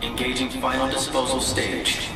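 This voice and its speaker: A male actor plays an automated industrial warning computer from a video game. The delivery is completely monotone and emotionless, with loud music behind it. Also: mid-range voice, moderate pace, close to an everyday voice, poor audio. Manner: flat, mechanical announcing.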